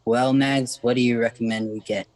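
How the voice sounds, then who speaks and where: A man's voice: medium pitch, fairly even intonation, moderate pace, no room sound, close to an everyday voice. An older man playing a pompous college boyfriend who talks like Shakespeare in a comic radio play. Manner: theatrical, pompous. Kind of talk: comic old-time radio play acting.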